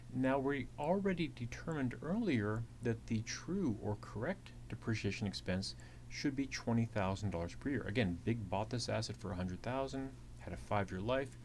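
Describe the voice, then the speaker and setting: A man's voice: lowish voice, darkish tone. A man giving a methodical explanatory lecture as an instructor, repeating key points as he goes. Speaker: accounting instructor. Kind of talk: explanatory lecture narration over slides. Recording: clearly audible hiss and hum.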